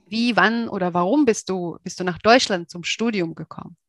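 A woman's voice: mid-range voice, normal speed, no room sound, studio quality. A woman hosting a podcast, opening the show and asking a guest questions in a friendly, prompting way. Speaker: podcast host. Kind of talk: interviewing on a podcast.

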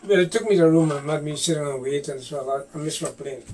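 A man's voice: fairly high pitch, fast, a little mellow. An older man from Guyana with a Guyanese accent, telling stories from his youth in a casual chat and laughing often. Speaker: guest, host's father.

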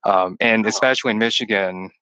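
Long-winded Texas-born man, bright voice. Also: lowish voice, fairly quick, smooth, no room sound, low-quality recording. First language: Chinese. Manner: long-winded, rambling, with many restarts. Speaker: podcast guest, returned missionary. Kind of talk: casual chat over a call. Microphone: call-in line.

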